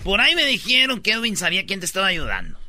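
A male radio host in comic banter, jokey and teasing. His voice is high and very bright, with a lively, animated pitch. Soft music plays behind him. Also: quick pace, no echo.

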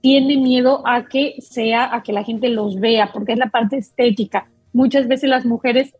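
A woman's voice: a little high, fairly even intonation, unhurried, a little mellow, slightly rough, no echo, muffled recording, soft background music. A woman doctor, explaining a topic patiently as a guest on a talk show. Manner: explains patiently, with many fillers and restarts.

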